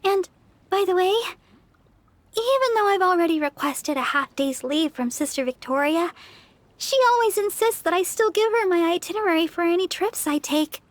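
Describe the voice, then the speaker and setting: A woman's voice: extremely high pitch, lively intonation, normal speed, no echo. A woman voicing a sweet, earnest young church sister in an anime game, speaking politely and eager to please. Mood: thoughtful, polite. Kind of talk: anime game character voice acting. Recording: music behind the voice. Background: game music.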